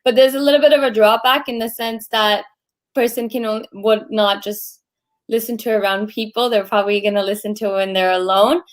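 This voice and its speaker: Higher-voiced woman full of fillers. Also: steady tone, fairly quick. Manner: lots of "like" and "um", asks questions. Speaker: co-host. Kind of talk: casual panel chat.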